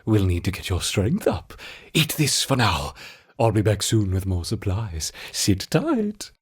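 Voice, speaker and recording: An actor playing a frantic, obsessive male mad scientist with a husky, excitable, theatrical delivery in a video game. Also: fairly low pitch, a little slow. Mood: obsessive, doting.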